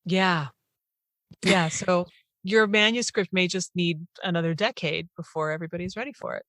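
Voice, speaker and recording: A woman's voice: lowish voice, normal speed, fairly bright tone, no echo. A woman hosting a conversational interview, speaking in an affirming, encouraging manner. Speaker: podcast host, interviewer.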